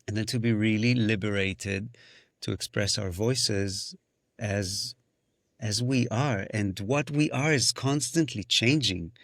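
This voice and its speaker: A man's voice: fairly low pitch, takes it slowly, fairly bright tone, no echo, clean studio sound. A man whose first language is Hebrew, a musician and singing-circle facilitator, talking thoughtfully in a podcast conversation, often restarting phrases and slipping into sung sounds.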